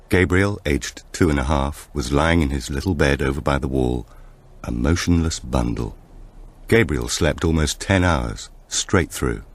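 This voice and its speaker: A man reading a thriller audiobook in a measured, dramatic storytelling manner. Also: a bass voice, husky. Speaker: audiobook narrator. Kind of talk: scripted thriller narration.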